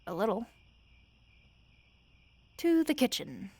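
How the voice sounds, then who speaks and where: Soft-spoken, weary female roommate, acted by a woman. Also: a little high, sing-song, vocal fry, slightly rough, no echo, heavily acted. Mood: wry, casual. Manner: soft-spoken, casual, halting, dry teasing. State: sleepy, weary. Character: tired, wry, sleepless female roommate, bantering fondly. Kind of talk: soft-spoken audio roleplay acting.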